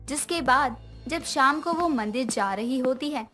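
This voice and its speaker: A woman's voice: sits high, expressive, measured pace, a bit bright, soft background music. A woman narrator reading a scripted moral bedtime story.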